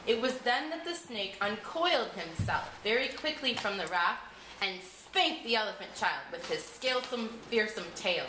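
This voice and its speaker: A woman narrating a scripted storybook tale in an expressive, deliberate way, recorded in an echoey room with faint noise. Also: a little high.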